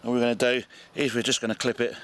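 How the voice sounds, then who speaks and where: A man's voice: medium pitch, little pitch movement, fast, a bit bright. A middle-aged man with a British accent giving a casual hands-on demonstration, recorded outdoors in a garden. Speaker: amateur radio video presenter.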